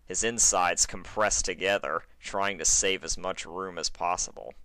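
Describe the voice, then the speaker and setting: A man's voice: low voice, steady tone, a little slow, pushed and bright, no echo, rough recording. A man narrating a fan fiction story in a dramatic, scripted reading style.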